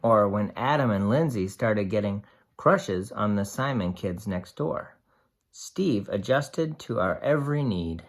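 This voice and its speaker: Slow, animated storyteller reading to young children, gender ambiguous. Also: mid-range voice. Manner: slow, deliberate, explains and asks simple questions. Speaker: read-aloud storyteller. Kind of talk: expressive picture-book read-aloud with asides to young children.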